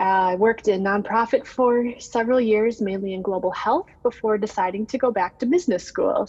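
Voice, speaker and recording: A woman in her thirties, an alumna guest, introducing herself in a relaxed way over a video call. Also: medium pitch, husky. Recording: dull, reverberant call audio.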